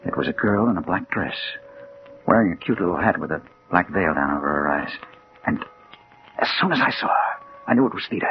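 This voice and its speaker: An actor playing an impatient, haunted American crime reporter, tough and slangy, in 1940s radio drama style. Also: male voice, sits low, expressive, fairly quick. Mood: tense, brooding. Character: hard-boiled male crime reporter, impatient, obsessive, haunted. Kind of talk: 1940s radio drama acting, first-person narration. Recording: dull, hissy 1940s radio recording.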